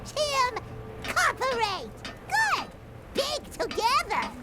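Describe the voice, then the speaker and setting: A performer voicing a small excitable forest creature in a video game, speaking in blunt, childlike broken phrases. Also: extremely high pitch, extremely slow, heavy background noise, exaggerated voice. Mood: excited, proud. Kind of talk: video game character acting. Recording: loud music behind the voice.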